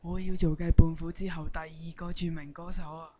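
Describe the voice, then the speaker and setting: A woman's voice: low voice, level tone, fairly quick, dark tone, natural voice. A woman playing cheeky, boastful schoolmates in an amateur comic radio skit, with a playful, exaggerated delivery. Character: boastful, cheeky schoolmates with teasing catchphrase comebacks. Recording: dull amateur recording with background noise.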